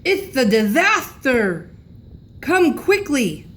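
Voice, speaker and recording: A woman voicing an aggrieved, agitated old grandfather with slow, toothless-sounding speech, reading a storybook. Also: high voice, big pitch swings, fairly bright tone, pitched above the performer's own voice, full character voice. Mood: alarmed shouting. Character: agitated, aggrieved old grandfather who lost his false teeth. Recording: clearly noisy room recording.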